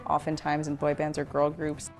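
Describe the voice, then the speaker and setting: A woman's voice: low-pitched, completely flat delivery, normal speed, faint music behind. A woman narrating an explainer documentary.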